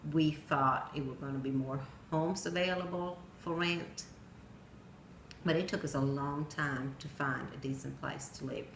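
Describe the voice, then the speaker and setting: A middle-aged woman from Sweden with a Swedish accent, telling a personal story in plain storytelling with pauses and some non-native grammar. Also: low-pitched, steady tone. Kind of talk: personal narrative speech. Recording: echoey room with faint noise.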